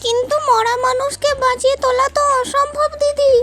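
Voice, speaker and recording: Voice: very high-pitched, level tone, a little fast, over music. A performer voicing an anxious young village boy, a little brother, in a cartoon. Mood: worried, sorrowful. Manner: urgent, worried. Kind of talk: cartoon voice acting, dramatic dialogue.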